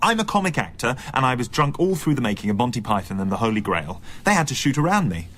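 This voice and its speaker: Earnest, very animated comic actor. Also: male voice, medium pitch, fast talker, slight background noise. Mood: earnest, exasperated. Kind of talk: radio comedy drama.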